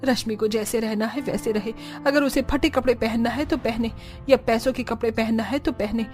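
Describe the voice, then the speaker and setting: Woman as a domineering, higher-pitched mother-in-law. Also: fairly even intonation, moderate pace, darkish tone, faint music behind, close to an everyday voice. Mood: pleading. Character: domineering middle-aged mother-in-law, clinging to her son. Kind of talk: animated story character dialogue.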